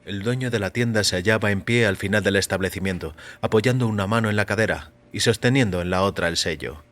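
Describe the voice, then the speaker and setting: A man reading a first-person short story as a narrator, in a measured, deliberate way. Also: lowish voice, a bit bright. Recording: music under the voice. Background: background music.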